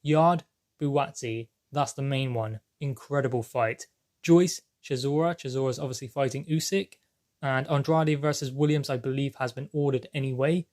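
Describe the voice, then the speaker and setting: A man's voice: medium pitch, steady tone, normal speed, a little mellow. A man presenting boxing news commentary, explaining things in an emphatic, repetitive way.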